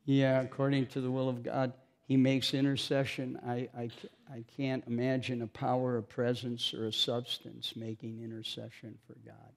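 A man's voice: medium pitch, little pitch movement, normal speed, creaky, few pauses. A man preaching an informal sermon, chatty and self-mocking, joking with the congregation between scripture readings.

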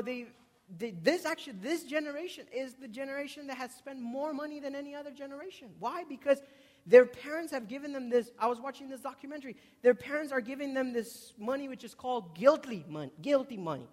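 A man in his twenties preaching a sermon emphatically to a congregation, repeating key words and putting questions to his listeners. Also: very high voice. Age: 20s. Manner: emphatic, repeating key words, swinging from loud stresses to hushed asides. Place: large indoor hall before a congregation. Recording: reverberant hall, long echo.

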